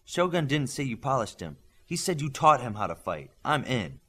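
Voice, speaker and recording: Young female martial artist, resolute and composed, animated intonation, voiced by a male reader. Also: medium pitch, moderate pace, pitched above the performer's own voice. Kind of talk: audiobook character dialogue.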